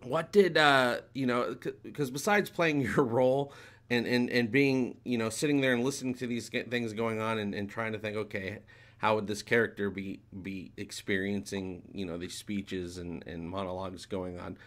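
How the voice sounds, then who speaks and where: A man's voice: on the low side, steady tone, moderate pace, a bit bright. A man in his forties hosting a fan podcast, asking long, rambling questions full of fillers over a video call. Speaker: podcast host. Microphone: webcam video call.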